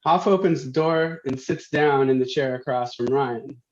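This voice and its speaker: A man's voice: mid-range voice, normal speed, lo-fi sound. A man reading out a script's title and stage directions as plain narration.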